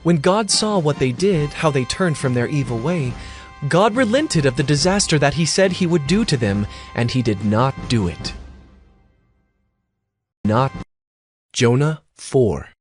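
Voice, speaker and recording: A male narrator reads scripture as dramatic storytelling. His voice is fairly high and lively in pitch, with faint music underneath. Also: a little slow, long pauses.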